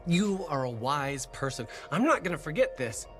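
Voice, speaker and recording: A man's voice: fairly high pitch, sing-song, normal speed, a bit bright, faint music behind. An actor playing an earnest, anxious man who does amateur acting, in a video game, with a dramatic manner. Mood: earnest, grateful.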